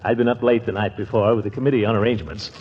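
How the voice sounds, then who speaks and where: An actor playing a pompous, fussy middle-aged uncle in a 1940s radio comedy, blustery and given to chuckles. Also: male voice, on the low side, fairly even intonation, rapid-fire, husky. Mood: nostalgic, jovial. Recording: muffled, noisy 1940s radio recording.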